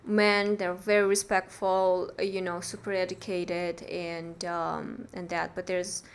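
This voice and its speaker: A woman's voice: lowish voice, little pitch movement, a little slow. Give me a young woman from Romania, Romanian native, talking casually and hesitantly as a podcast guest. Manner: thoughtful, hesitant, many fillers. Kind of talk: casual interview chat. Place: small bright studio room. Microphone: studio mic on arm.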